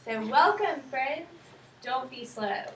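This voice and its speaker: High-voiced woman reading aloud, distant and echoey. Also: lively intonation, slow. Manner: asks simple questions, prompting and affirming children's answers. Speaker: student teacher reading aloud to kindergarten children. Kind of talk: interactive picture-book read-aloud to young children. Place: echoey indoor room with children. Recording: roomy, noisy, distant microphone.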